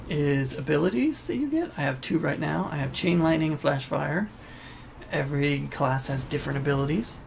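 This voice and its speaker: Gravelly man giving casual game commentary. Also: a little high. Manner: informal, rambling, thinking aloud. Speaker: gaming video host. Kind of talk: casual let's play commentary, explaining game interface. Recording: noisy voice-over over game ambience. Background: video game sounds and music.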